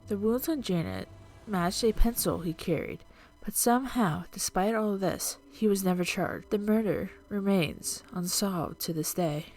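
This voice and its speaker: A woman narrating scripted true-crime and urban legend stories as a video host, in a measured, dramatic storytelling manner. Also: on the low side, a little mellow. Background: music.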